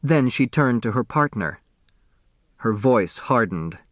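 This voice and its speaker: A man reading a novel as an audiobook narrator, with dramatic, expressive scripted delivery. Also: medium pitch, slow, no echo, clean studio sound.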